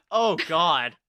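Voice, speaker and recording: A woman hosting a podcast, animated and jokey while chatting and reading fanfiction aloud. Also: lowish voice, slight background noise. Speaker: podcast host. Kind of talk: casual chat and fanfiction read-aloud.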